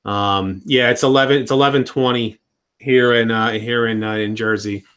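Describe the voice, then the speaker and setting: A man in his thirties streaming from home in relaxed, loose chat with viewers. He rambles along, trailing off and restarting sentences as he goes. His pitch sits in an ordinary middle range. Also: moderate pace, smooth. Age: 30s. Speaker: live streamer. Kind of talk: casual live-stream chat.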